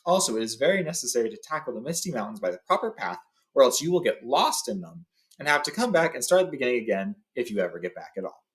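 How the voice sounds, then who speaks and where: A man's voice: mid-range voice, sing-song, fast. A man reading the part of a grave, wise old male wizard guide in a storybook, formal and instructive. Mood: cautioning.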